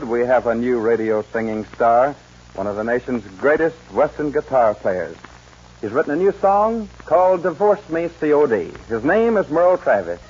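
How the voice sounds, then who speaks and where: A man's voice: on the high side, a little mellow, breathy edge. A man working as a 1940s radio show host, announcing acts in a folksy showman's way on a muffled vintage recording.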